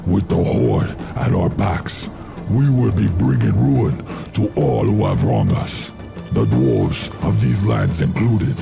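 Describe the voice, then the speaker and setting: Loud music plays under a deep game voice. He is a battle-hungry troll chieftain with a gravelly edge. He rallies warriors in a swaggering, commanding troll patois. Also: very animated, slow, full character voice. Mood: proud, defiant.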